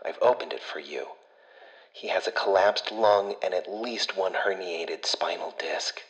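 A man acts out an anxious, caring computer aboard a spaceship in an audio story. The voice is deep and hushed, coaxing and urgent, with a wry, familiar touch. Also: a little slow, muffled recording. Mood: urgent, firm.

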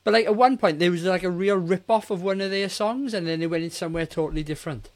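A man's voice: high voice, quick pace. A man in his forties giving blunt, casual opinions on a film as a podcast co-host. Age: 40s. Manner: blunt, mildly sweary.

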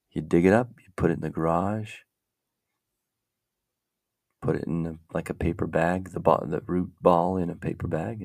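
A man with a very deep, gravelly voice hosts a casual talk, rambling slowly and thinking aloud as he goes. The sound is dry and close. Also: a little mellow, no room sound. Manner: meandering, muses aloud while browsing. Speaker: podcast host.

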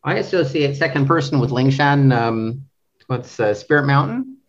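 A man speaking as an interview guest, a translator discussing a book casually, in a halting way, rephrasing as he goes. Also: mid-range voice, animated, dull audio.

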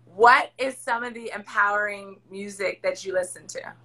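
A woman hosting a podcast, with a raspy voice, talking loudly and emphatically in casual chat. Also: medium pitch, normal speed. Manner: loud, emphatic, encouraging. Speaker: podcast host. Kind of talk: casual chat over a video call.

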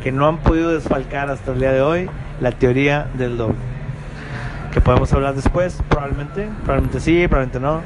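A man's voice: a little fast, very noisy. A man from Monterrey with a northern Mexican accent, hosting a casual podcast with friends. He is tipsy, jokes around and swears freely. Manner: loose and joking, swears freely, mimics friends in high squeaky voices. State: tipsy from drinking. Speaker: podcast host. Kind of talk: casual chat among friends.

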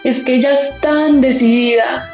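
A woman's voice: high voice, fairly even intonation, unhurried, gravelly. An actress playing a dreamy, determined young woman in an emotional radio drama. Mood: dreamy, excited. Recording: echoey, loud music behind.

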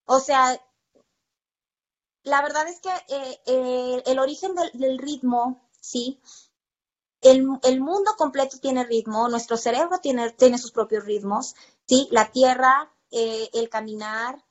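High-voiced Mexican woman, slightly dull recording. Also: slow. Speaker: interview guest, percussion teacher. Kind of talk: conversational personal storytelling.

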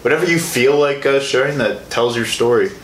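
Bright, lowish-voiced young man interviewing, echoey room. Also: fairly quick. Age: 20s. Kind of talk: casual conversational interview. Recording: echoey room with some background noise.

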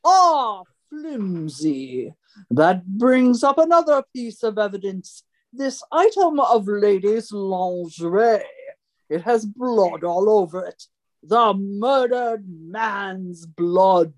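A woman playing a pompous amateur detective in a comic radio murder mystery, declaiming deliberately and theatrically. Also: mid-range voice, expressive. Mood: smug, accusing.

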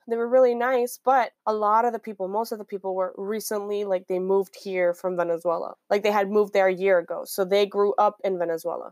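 A woman's voice: medium pitch, dry recording, studio quality. A young woman from Miami with a Miami accent, talking through her thoughts in a casual conversation, with frequent restarts. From Miami, Florida, USA. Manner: reflective, thinks aloud with restarts.

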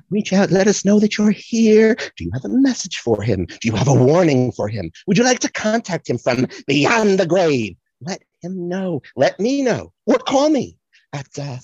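Actor as a flaky self-promoting psychic, comedy sketch, very animated pitch, dry dull recording. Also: husky, dry recording. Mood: mock-mystical. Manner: rambling, stalling, theatrical swoops. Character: scatterbrained, self-promoting psychic to the stars, easily distracted. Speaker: psychic guest on a podcast. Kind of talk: comic improvised audio sketch.

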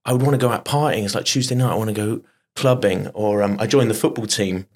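A middle-aged man, a stage performer, telling animated stories in a casual interview, often restarting his sentences. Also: lowish voice. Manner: animated anecdotes with quick restarts. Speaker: guest performer.

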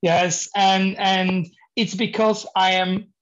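Halting Polish-accented man, lo-fi sound. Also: sits high, level tone, fairly bright tone. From Poland. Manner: halting, searches for words, laughs often. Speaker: guest, painting contractor. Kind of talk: interview conversation.

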